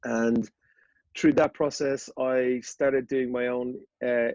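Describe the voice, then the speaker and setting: A man in his thirties with a Dublin Irish accent, a podcast guest telling his story in a relaxed, rambling way with many fillers and restarts. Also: mid-range voice, slow pace, no echo, dull audio. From Ireland. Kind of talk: casual interview chat.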